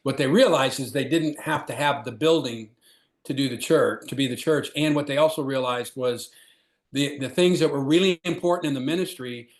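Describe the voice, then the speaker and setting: A man who was a pastor, introducing a speaker in an informal, halting way over a video call. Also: medium pitch. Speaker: host, former pastor. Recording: roomy echo.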